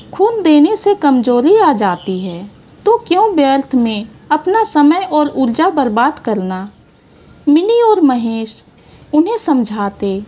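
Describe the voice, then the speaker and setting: High, lively-voiced woman telling a story slowly. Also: muffled recording. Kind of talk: scripted story narration.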